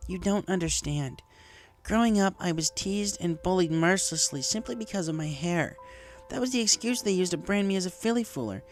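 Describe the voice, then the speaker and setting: A woman's voice: on the low side, animated, a little fast, pitched above the performer's own voice, close to an everyday voice. A woman voicing a proud, assertive young mare pony who speaks in a defensive, argumentative way in a story. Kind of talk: fan fiction character dialogue. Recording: music behind the voice.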